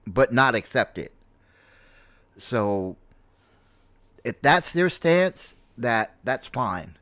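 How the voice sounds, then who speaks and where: A man in his late forties from New York City with an American accent, giving an unscripted personal opinion in a halting, reflective manner and repeating himself for emphasis. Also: medium pitch, a bit bright, no echo. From New York City, United States. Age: late 40s. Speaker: streamer, content creator.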